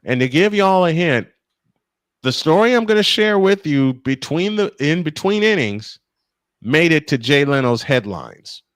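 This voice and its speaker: A man calling live baseball play-by-play and telling stories in an animated, emphatic way, stretching phrases for drama. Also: a little high, unhurried, no room sound. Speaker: play-by-play sportscaster. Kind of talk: live baseball commentary mixed with animated storytelling.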